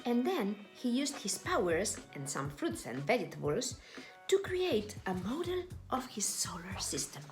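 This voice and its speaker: A woman reading a children's story aloud with deliberate, dramatic, expressive phrasing. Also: medium pitch, faint music behind. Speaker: storybook reader.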